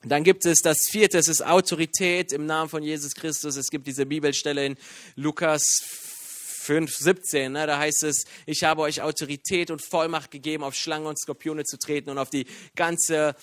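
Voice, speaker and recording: A man from Iran preaching a sermon in a lively, joking way, with many fillers and restarts. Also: on the high side, moderate pace, no room sound, studio quality. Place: church.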